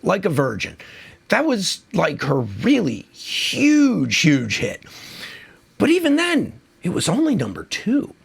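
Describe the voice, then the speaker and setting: A man's voice: sits high, huge pitch swings, slow pace, creaky, breathy edge. A man who is losing his voice from a cold, hosting a casual music countdown in a chatty, enthusiastic, rambling way. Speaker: music video host.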